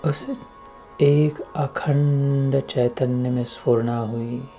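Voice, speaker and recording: A man giving a devotional guided meditation discourse as a spiritual teacher, solemn and deliberate, speaking in short phrases with long pauses. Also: mid-range voice, fairly even intonation, dark tone, soft background music. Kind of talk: slow devotional meditation discourse with chanted verses.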